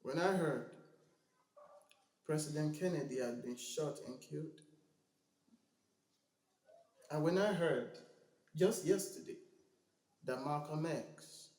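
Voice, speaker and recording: An actor playing a civil rights preacher giving a grieving, impassioned eulogy in a dramatic, rhetorical film-monologue style. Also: male voice, a little high, measured pace, long pauses, roomy sound, natural voice. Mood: hushed, mournful. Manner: rhetorical, building from hushed solemnity to fiery cries.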